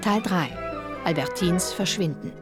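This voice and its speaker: A woman's voice: on the low side, unhurried. A woman reading scripted title and cast announcements for a radio drama. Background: brass music.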